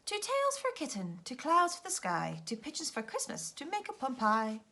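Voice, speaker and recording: A bright, very lively storybook voice from a woman reader, playing a silly little man who delights in nonsense rhymes. The delivery is sing-song and playful, with big swoops in pitch. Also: normal speed, full character voice.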